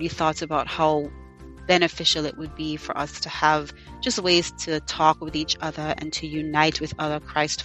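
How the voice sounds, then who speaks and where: A woman's voice: fairly low pitch, fairly bright tone. A young woman hosting a faith podcast. She prays fervently, then chats casually and tells personal stories with many fillers. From United States, partly raised in Jamaica. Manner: fervent, repeats thanks and "God" often; chatty, many "you know" fillers. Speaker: podcast host. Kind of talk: prayer and personal storytelling.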